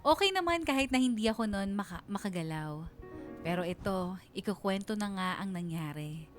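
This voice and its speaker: Actress as a bashful Filipina storyteller over music. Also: female voice, medium pitch, animated, normal speed, natural voice. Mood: embarrassed. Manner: confiding, self-mocking. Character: bashful young woman recalling embarrassing childhood memories. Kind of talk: dramatized radio story narration.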